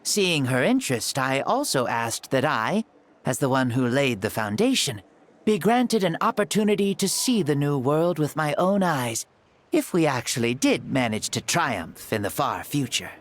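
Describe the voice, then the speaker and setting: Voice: mid-range voice, big pitch swings, moderate pace. An actor playing a spirited ancient hero living in a borrowed young body, with a playful, theatrical way of talking in a video game. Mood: proud, reflective. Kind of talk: scripted video game dialogue.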